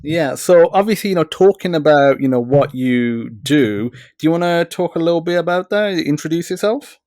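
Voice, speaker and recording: A man's voice: a little high, expressive, normal speed, darkish tone, dry recording, studio quality. A man hosting a podcast, asking long, rambling questions full of "you know" fillers. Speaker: podcast host.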